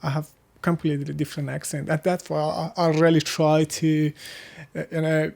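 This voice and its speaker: A man from Afghanistan with an Afghan accent and Hazaragi as his first language, answering casually in halting, searching English. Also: on the high side, completely flat delivery, creaky, darkish tone. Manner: halting, searching for words in non-native English. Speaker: interviewee. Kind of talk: casual interview conversation.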